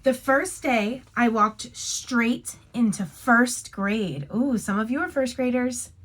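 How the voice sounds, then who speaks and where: A woman teacher reading a picture book aloud to young children, expressive and instructive, with casual asides. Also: fairly high pitch, slow, poor audio.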